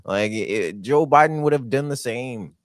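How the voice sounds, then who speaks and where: A man's voice: medium pitch, moderate pace, no room sound, clean studio sound. A man co-hosting a podcast, talking bluntly with lots of slang in casual chat. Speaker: podcast co-host.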